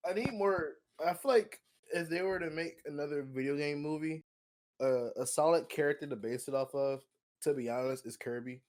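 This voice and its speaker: Higher-pitched young American man chatting casually. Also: moderate pace, a little mellow. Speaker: podcast co-host. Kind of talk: casual group chat.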